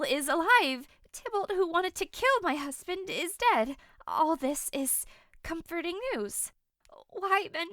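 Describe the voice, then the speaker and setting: A woman's voice: high-pitched, fairly bright tone, no echo, studio quality. A woman playing a passionate, desperate young newlywed noblewoman in an audio drama, declaiming theatrically. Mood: grieving. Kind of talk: audio drama acting of modernized Shakespeare.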